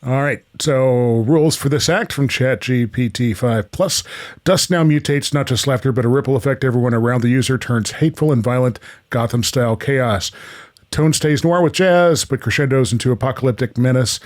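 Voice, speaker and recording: A man's voice: mid-range voice, moderate pace. A man presenting a radio play, reading out story rules and announcing acts and scenes.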